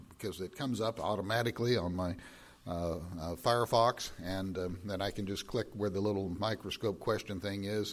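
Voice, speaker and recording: Older male pastor, lowish voice, a touch raspy, loose and rambling delivery with frequent "uh"s. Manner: rambling, many fillers and restarts. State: slightly raspy voice. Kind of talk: sermon announcements.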